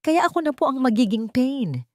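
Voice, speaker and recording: A woman's voice: sits high, very animated, moderate pace, a little mellow, dry recording, studio quality, voice pushed up. A woman voicing a brave, determined young daughter in a dramatic story, earnest and resolute.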